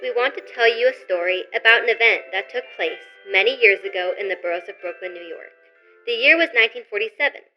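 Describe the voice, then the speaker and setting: A woman's voice: mid-range voice, fast talker, music in the background. A woman reading scripted narration for an old-style radio play. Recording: dull lo-fi recording.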